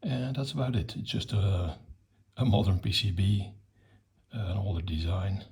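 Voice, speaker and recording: A middle-aged or older man giving an unscripted technical demonstration in a matter-of-fact, hesitant way, with a husky, gravelly voice. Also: sits low, moderate pace, a little mellow. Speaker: electronics hobbyist presenter.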